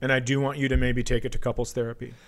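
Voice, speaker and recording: A man's voice: medium pitch, level tone, racing. A man hosting a comedy podcast, a comedian with a blunt, teasing, profane way of bantering.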